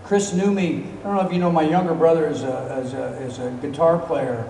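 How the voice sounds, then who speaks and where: A middle-aged man speaks into a handheld mic on a big stage, his fairly high voice rolling through a long-reverb hall. He rambles through his stories with plenty of fillers, heard from far back in the crowd with faint room hum. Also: fairly quick. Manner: relaxed, rambling storytelling with many fillers. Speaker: convention guest actor. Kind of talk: live stage Q&A. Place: large convention hall, stage. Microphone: handheld mic, filmed from far in the crowd.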